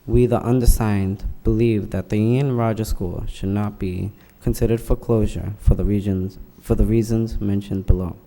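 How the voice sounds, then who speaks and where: Guy reading a petition kinda halting, dark mellow voice, far off mic in a big echoey hall. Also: on the low side, steady tone. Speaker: public commenter at school committee meeting. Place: public meeting hall. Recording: reverberant hall, distant from mic.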